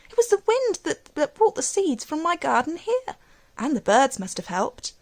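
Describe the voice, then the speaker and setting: A woman acts out an elderly, kindly great-aunt from a storybook. Her voice lifts high and expressive, full of delight and wonder as she exclaims. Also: normal speed. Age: older.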